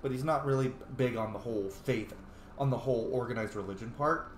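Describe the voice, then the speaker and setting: A man's voice: mid-range voice, a little fast. A man giving informal, rambling commentary on a video game playthrough, thinking aloud. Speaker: game streamer, role-player. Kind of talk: casual playthrough commentary, reading dialogue choices in character. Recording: roomy, noisy home recording.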